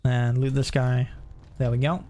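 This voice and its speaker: A man's voice: mid-range voice, little pitch movement, unhurried, mellow. A man streaming a video game with casual play-by-play, chatting and thinking aloud. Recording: clear game noise behind voice. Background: video game sounds.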